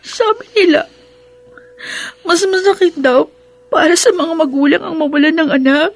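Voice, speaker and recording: A woman's voice: very high-pitched, normal speed. A woman playing an anxious, pleading young daughter in an emotional Tagalog radio drama. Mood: grieving, fearful. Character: anxious young daughter fearing her sick mother's death.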